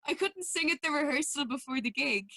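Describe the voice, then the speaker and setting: A young woman from Mayo with an Irish accent, a musician talking casually about her work with animated, self-deprecating storytelling. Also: high-pitched, fairly quick, noisy background. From Mayo, Ireland. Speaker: musician guest. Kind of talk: casual interview chat.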